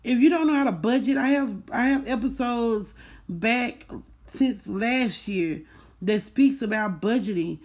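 A woman's voice: a little high, measured pace, a little mellow. Folksy, emphatic woman chatting. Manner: emphatic, repeats key words for stress; folksy, addresses listeners as y'all. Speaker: host of a personal book club podcast. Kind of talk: casual chat, recapping a book.

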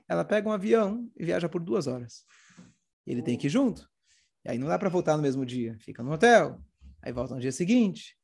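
Higher-pitched, gravelly Brazilian man, hoarse with a cold. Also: darkish tone. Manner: acts out dialogue while retelling. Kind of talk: animated informal religious storytelling talk.

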